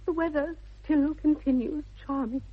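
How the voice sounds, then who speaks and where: A woman's voice: high voice, fairly even intonation, normal speed, close to an everyday voice. An actress with a British accent playing a dreamy, headstrong young Victorian lady in a 1960s radio comedy, with precise, affected, earnest delivery. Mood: matter-of-fact, prim. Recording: dull vintage 1960s radio recording.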